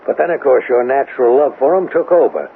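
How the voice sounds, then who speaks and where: An actor playing a skeptical, world-weary American police lieutenant in a 1970s radio drama, probing in a matter-of-fact way. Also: male voice, mid-range voice, fast, vocal fry, close to an everyday voice. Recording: narrow, hissy old radio recording.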